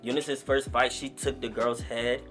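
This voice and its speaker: A man in his twenties from Nigeria, a guest rapper telling stories in relaxed, slangy casual talk. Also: medium pitch, a little slow, faint music behind. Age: 20s. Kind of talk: casual podcast interview.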